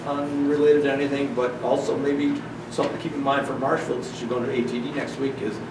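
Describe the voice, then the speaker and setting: Man, meeting chair, hesitant delivery, mid pitch, distant mic, echoey room, background noise. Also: little pitch movement, fast talker. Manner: hesitant, lots of fillers and restarts.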